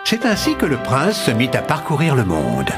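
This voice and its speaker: An actor playing a wry, lively storyteller narrating a cartoon fairy tale expressively. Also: male voice, mid-range voice, moderate pace, over loud music. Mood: wry, dramatic.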